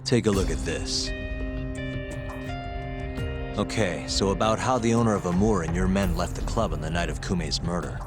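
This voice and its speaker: An actor playing a shrewd, dogged investigator in a video game, cool and probing. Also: male voice, low-pitched, normal speed, long pauses, over music, close to an everyday voice. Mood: probing, confident. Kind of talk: English video game cutscene acting.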